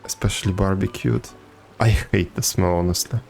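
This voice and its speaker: Low-voiced man chatting on a game stream. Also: fairly even intonation, normal speed, gravelly, a little mellow. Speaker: video game livestreamer. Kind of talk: casual chat with viewers while gaming. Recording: game music behind the voice. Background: video game music.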